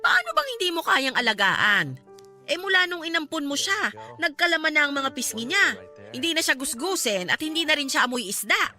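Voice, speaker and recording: A caring, outspoken Filipino friend in a radio drama. Her voice is highish, bright and very expressive, coaxing and emphatic as she persuades, with music underneath. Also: fairly quick, full character voice. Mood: insistent, sincere.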